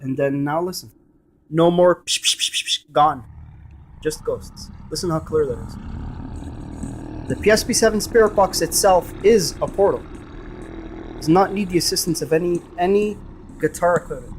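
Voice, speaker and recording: A man giving a casual, emphatic and repetitive hands-on gadget demonstration. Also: a little high. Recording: noisy room with device static. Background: radio scanning static.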